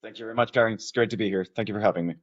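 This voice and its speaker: Low-voiced man, slightly muffled audio. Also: fairly even intonation, rapid-fire. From Argentina. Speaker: company founder being interviewed. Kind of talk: conversational interview answers.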